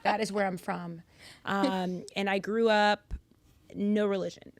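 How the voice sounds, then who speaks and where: A young woman from Massachusetts chats as a podcast guest, animated and swinging louder when she stresses a point. Her voice sits in a middle register and sounds close and dry on a studio boom mic. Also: a little slow, dry recording. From Massachusetts, United States. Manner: animated storyteller, swings loud for emphasis. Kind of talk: casual podcast chat. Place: podcast studio with purple lighting.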